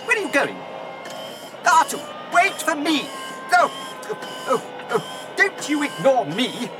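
A man's voice: extremely high pitch, huge pitch swings, a little slow, noisy background, full character voice. A man playing a fussy, anxious, self-pitying robot in a radio drama, prissy and theatrical in his complaints. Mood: alarmed, scolding. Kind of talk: dramatised radio science-fiction acting.

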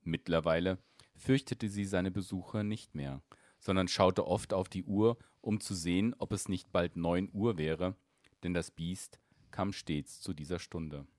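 A man with a very deep voice reads a fairy tale aloud at a calm, measured pace. The sound is dry and close, with no room around it. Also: dry recording. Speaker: storyteller.

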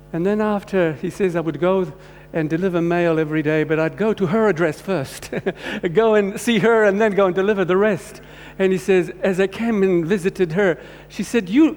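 Guy from croatia, halting church testimony, bit high voice, big echoey hall. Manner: halting, warm-hearted, many restarts. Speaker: guest speaker. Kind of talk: personal testimony sermon. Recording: long hall reverb, faint hum.

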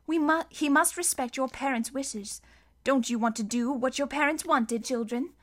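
A woman voicing a kind, gentle middle-aged woman judge who speaks softly and warmly. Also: high voice, moderate pace, natural voice.

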